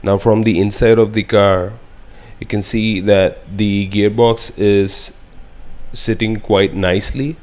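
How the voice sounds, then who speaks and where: Slow-talking man explaining a car build. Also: fairly low pitch, level tone, darkish tone. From India. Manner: slow, matter-of-fact walkthrough with fillers. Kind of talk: casual explanatory voice-over and on-site commentary. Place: garage workshop.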